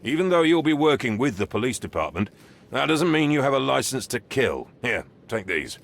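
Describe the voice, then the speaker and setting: Gravelly, stern police drill sergeant. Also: male voice, medium pitch, big pitch swings, normal speed. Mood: stern instruction. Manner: gruff, condescending. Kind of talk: video game voice acting.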